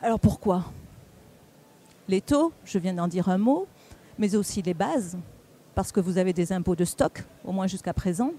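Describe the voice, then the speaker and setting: A speaker giving a public presentation at a round table, explaining didactically in a halting way, with repeats and self-corrections. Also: mid-range voice, lively intonation, mellow. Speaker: conference speaker presenting on local government finances.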